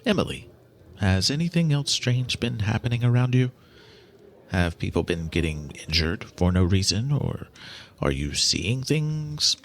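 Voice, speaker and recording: A man's voice: on the low side, sing-song, unhurried. A man playing a formal, precise, earnest guardian figure in an audio drama. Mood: formal, probing.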